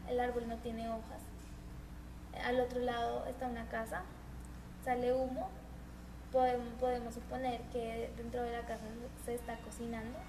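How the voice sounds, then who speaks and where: A woman's voice: a little high, monotone, moderate pace. A woman presenting a history documentary, reading earnestly with small stumbles and restarts. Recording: noisy homemade recording.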